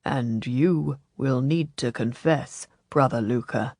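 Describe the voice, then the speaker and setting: A woman voicing a pious older churchman in an audiobook, grave, measured and gently stern. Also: low-pitched, slightly rough, dry recording, clean studio sound, pitched below the performer's own voice, full character voice. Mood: solemn.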